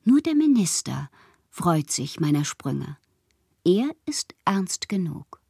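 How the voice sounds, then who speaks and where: Dreamy bohemian lady poet for radio drama, breathy n intimate, theatrical, really dry close sound. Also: lowish voice, big pitch swings, takes it slowly, darkish tone, no room sound, heavily acted. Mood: playful, musing. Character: whimsical, confiding bohemian woman poet writing letters. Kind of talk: literary radio drama, letters read as monologue.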